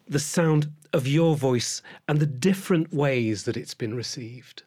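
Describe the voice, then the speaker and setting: A man's voice: mid-range voice, measured pace, a little mellow, slightly rough, no echo, clean studio sound. Courteous male interview host. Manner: courteous, formal introductions. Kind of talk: interview hosting.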